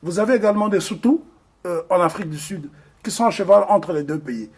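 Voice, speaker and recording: A man's voice: high-pitched, animated, a little fast, darkish tone, slightly rough. A man from the Republic of the Congo, speaking as an interview guest in an earnest, emphatic way with frequent hesitations. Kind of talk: interview discussion.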